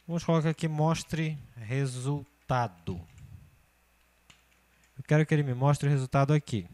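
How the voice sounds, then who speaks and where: A man in his thirties, a computer course teacher, talking through a spreadsheet tutorial step by step and often checking in with the learner. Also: mid-range voice. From Brazil. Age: 30s. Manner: steady step-by-step explaining, often checking with "certo?".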